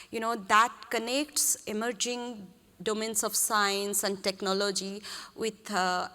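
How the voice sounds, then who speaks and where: A woman moderates a panel, feeling her way through long questions with frequent ums. Her voice is bright and clear. A long reverb hangs in the conference hall. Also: mid-range voice. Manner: searching phrasing with many ums. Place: large conference hall stage.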